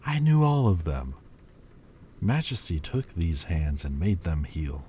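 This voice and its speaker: A man voicing an ancient male demon lord, quietly confessing in a slow, halting way in an audio roleplay. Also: deep voice, very animated, slight background noise. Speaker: voice actor.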